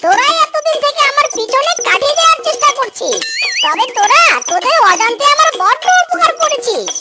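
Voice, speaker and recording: A crafty little cartoon animal farmer. The voice is piercingly high and processed, swooping theatrically as it taunts, over loud music. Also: male voice, sing-song, a little fast, full character voice. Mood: taunting, gloating. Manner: melodramatic, taunting. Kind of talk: exaggerated cartoon dialogue.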